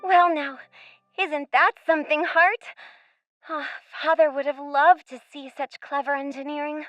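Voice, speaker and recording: Plucky young girl, radio drama: very high voice, sensible big-sister bossiness, proper speech, close and dry. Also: steady tone, unhurried, no echo, dull audio, faint music behind. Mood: curious wonder. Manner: proper, exclamatory, old-fashioned politeness. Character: young girl adventurer, sensible, bossy, curious older sister. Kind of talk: scripted radio play acting.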